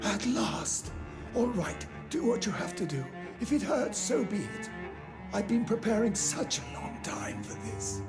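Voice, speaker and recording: A man's voice: high-pitched, very animated, measured pace, music in the background, full character voice. An actor playing a callous, entitled rich old man with a haughty, demanding manner in a video game. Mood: haughty, grim. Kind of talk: dramatic video game acting.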